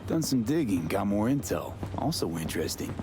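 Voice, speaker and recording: Low-voiced, street-smart mercenary man. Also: very animated, normal speed, music in the background. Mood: businesslike. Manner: clipped, casual, slangy phrasing. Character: street-smart male mercenary investigator, cool and blunt. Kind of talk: video game dialogue.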